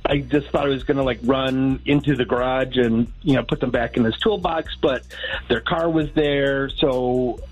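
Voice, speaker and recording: A man's voice: medium pitch, steady tone, normal speed, breathy edge, highs cut off, soft background music. A man with a Midwestern accent, calling in to a radio show and rambling sheepishly about his situation. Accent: Midwestern American. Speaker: caller. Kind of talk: casual phone call.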